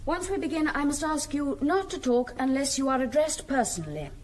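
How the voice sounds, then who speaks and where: An actress playing a composed woman spirit medium in a British radio drama, giving formal, measured instructions. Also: high-pitched, lively intonation, a little hiss or hum. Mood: calm, commanding.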